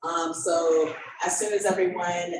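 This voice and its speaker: A woman hosting a community panel discussion, speaking informally and haltingly as she addresses those gathered. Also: fairly low pitch, fairly even intonation. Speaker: event host. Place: echoey event room. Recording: roomy, distant mic with clear background noise.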